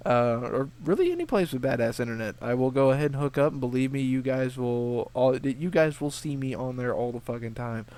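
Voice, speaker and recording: A man's voice: medium pitch, a little mellow. A young man with a sniffly, slightly stuffed-up voice, casually answering viewer questions in a rambling, laid-back way with lots of swearing and restarts. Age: young adult. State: sniffly with a sore throat. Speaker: video game walkthrough channel host.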